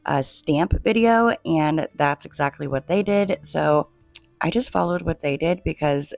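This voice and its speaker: A woman with a low voice talks viewers through a craft project, chatty and relaxed, often calling them "you guys". She is coming down with a cold and her voice is fading. The sound is dry and close, with no room echo. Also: a little slow, creaky. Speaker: DIY home decor creator. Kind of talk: casual craft tutorial narration.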